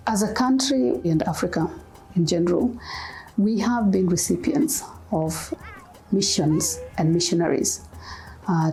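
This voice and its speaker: A woman's voice: fairly low pitch, dark tone, low-quality recording. A woman from Kenya speaking earnestly in a documentary interview about mission work. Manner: earnest, measured, appealing. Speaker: mission organization leader.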